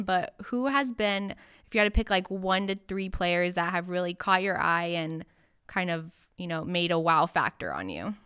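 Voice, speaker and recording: A woman's voice: medium pitch, a bit bright, smooth. A woman interviewing a guest on a podcast in a casual, conversational way.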